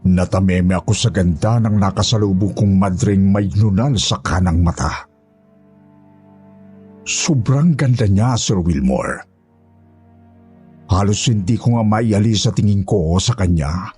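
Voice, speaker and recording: A former orphanage guard, played by a man recounts eerie memories in the first person. His voice is on the low side, set over soft music as horror story narration. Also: slow pace, long pauses, slightly rough, full character voice. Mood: reminiscing.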